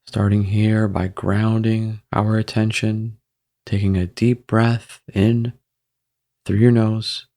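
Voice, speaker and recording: A man guiding a meditation in a gentle, measured way, with frequent pauses between phrases. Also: lowish voice, level tone. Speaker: meditation guide. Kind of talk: guided meditation narration.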